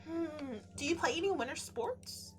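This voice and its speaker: Woman playing an eager, high-voiced young heroine. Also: a little slow, soft background music. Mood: curious. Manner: chatty, earnest, animated. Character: eager, apologetic young female heroine of romance game.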